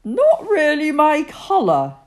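A woman's voice: extremely high pitch, sing-song, measured pace, a little mellow, voice pushed up, heavily acted. A woman voicing a grumpy, snobbish male teddy bear with a cold, whining and complaining as she reads a children's storybook. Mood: sniffy. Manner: whiny, complaining. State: sniffly, achy, sneezing.

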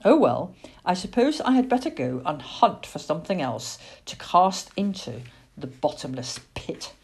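A woman's voice: fairly low pitch, big pitch swings, a little slow, breathy edge, heavily acted. A woman voicing a weary, wry grown male barn owl, a father who grumbles good-humouredly. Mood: weary, exasperated.

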